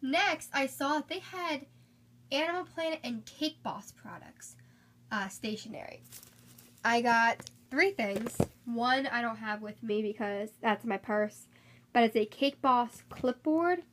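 A woman's voice: fairly high pitch, measured pace, a bit bright. A young woman chatting casually through a shopping haul, slightly congested from a cold, filmed on a handheld phone at home. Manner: chatty, upbeat, with frequent fillers. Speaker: haul vlogger. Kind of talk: casual haul video chat. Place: indoors at home, filming items on a bed.

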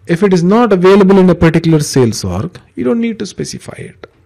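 A man giving an informal step-by-step software tutorial in a conversational, explanatory way, with a gravelly, husky voice. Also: sits high, big pitch swings, fast talker. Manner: explanatory, conversational, uses examples. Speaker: software trainer, instructor.

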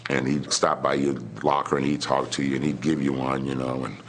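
A man's voice: very deep voice, level tone, a little fast, fairly bright tone, a little hiss or hum. A middle-aged man with an American accent reminiscing in an interview.

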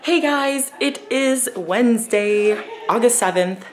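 A young woman vlogging to a camera held at arm's length in a bedroom, chatty and hoarse with a sore morning voice. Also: on the high side, takes it slowly, reverberant. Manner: chatty, laughing, lots of fillers. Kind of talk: casual vlog to camera. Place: bedroom in a rented house.

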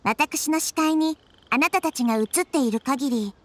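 A woman playing a calm, curious little girl deity in a Japanese game, composed and gentle in manner. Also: high voice, normal speed, natural voice. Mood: instructive. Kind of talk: Japanese anime game dialogue. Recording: faint game music behind.

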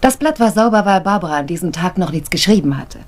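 A woman's voice: on the low side, lively intonation, fast. A woman playing a composed, guarded young woman in a radio crime drama.

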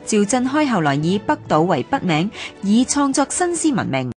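A woman with a Hong Kong Cantonese accent, reading scripted documentary narration in a formal, measured way. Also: medium pitch, sing-song, darkish tone. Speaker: narrator of a radio history series. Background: music.

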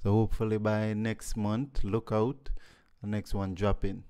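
A man's voice: on the low side, little pitch movement, unhurried, dark tone, smooth. A young man from Jamaica with a Jamaican accent, chatting casually as a guest musician on a podcast, peppering his talk with "you know". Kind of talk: casual interview. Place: living room with mirrored wall decor. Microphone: studio mic on boom arm.

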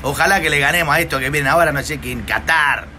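A man's voice: on the high side, fast talker, pushed and bright, slight background noise. A man in his forties with an Argentine Spanish accent, a pastor chatting on a phone livestream, jokey and exclamatory, tagging lines with "eh". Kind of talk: casual livestream chat. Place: outdoor terrace in hot sun. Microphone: phone at arm's length.

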